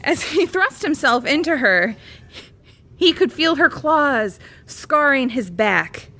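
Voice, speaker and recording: A woman's voice: high voice, animated, takes it slowly, bright, forceful tone. A woman reading a bawdy comic parody story aloud as a storyteller. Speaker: comedy storyteller.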